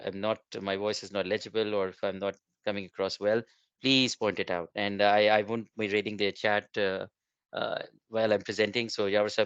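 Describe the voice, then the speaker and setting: A middle-aged man whose first language is Urdu gives a webinar presentation, halting with many fillers and restarts. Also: on the low side, fairly bright tone, clean tone, dry recording. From Pakistan. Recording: dull lo-fi online call.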